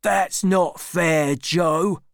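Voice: mid-range voice, expressive, slow pace, smooth, no echo, clean studio sound, pitched above the performer's own voice. A reader doing a sulky, surly workman. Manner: surly and complaining. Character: big, sulky young workman, always unhappy.

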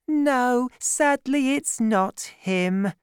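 A woman reading aloud and voicing a gentle, trusting young woman who talks in an eager, breathless way. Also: medium pitch, expressive, slow pace, dry recording, clean studio sound, pitched above the performer's own voice. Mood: excited.

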